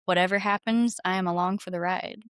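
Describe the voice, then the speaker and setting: A woman's voice: lowish voice, fairly even intonation, brisk, fairly bright tone, clean tone, no room sound, clean studio sound. A woman chatting casually as an interview guest, informal and playful, peppering her sentences with "like". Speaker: podcast guest, musician. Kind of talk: casual chat.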